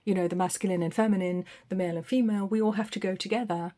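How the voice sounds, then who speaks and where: A woman giving an intuitive tarot reading to camera, meandering and reflective, with frequent ums and soft restarts, recorded on a phone. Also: medium pitch, steady tone, very fast. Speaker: tarot reader.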